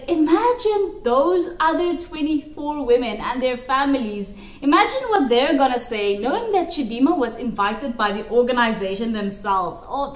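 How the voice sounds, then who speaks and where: A woman giving emphatic, opinionated commentary to camera, repeating her points for stress. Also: high voice. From South Africa. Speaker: pageant commentary vlogger. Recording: roomy echo.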